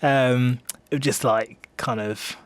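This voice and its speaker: A man's voice: medium pitch, fairly even intonation, slow, clear, resonant tone, no room sound. Hesitant young English man, dry close recording. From England. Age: 20s. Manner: self-deprecating, hesitant, rambling. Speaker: singer-songwriter being interviewed. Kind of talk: casual radio interview chat. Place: radio studio.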